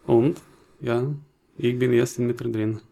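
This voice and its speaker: Slow, halting man with a Ukrainian accent. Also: medium pitch, flat delivery, gravelly, warm tone. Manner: hesitant, searching for words. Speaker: interview guest, job seeker. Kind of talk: interview answers in halting second-language German.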